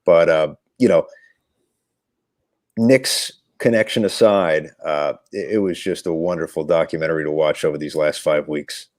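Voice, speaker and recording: Male sports commentator as interview guest: very low register, dry recording, reflective, conversational delivery with emphatic phrasing. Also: moderate pace, darkish tone, dry recording.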